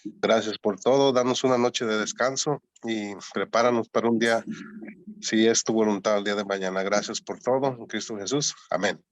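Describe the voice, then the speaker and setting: A man leading a closing prayer in an earnest, halting way with small restarts. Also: lowish voice, fairly even intonation, no echo. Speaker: church member leading prayer. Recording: dull lo-fi call audio.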